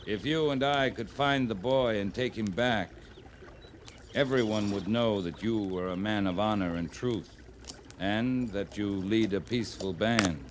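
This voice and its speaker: An actor playing a steady, earnest US cavalry officer in a radio western, talking in a plainspoken, deliberate way. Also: male voice, lowish voice, lightly acted. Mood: earnest, persuasive. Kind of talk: 1950s radio western acting. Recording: slightly noisy vintage radio recording.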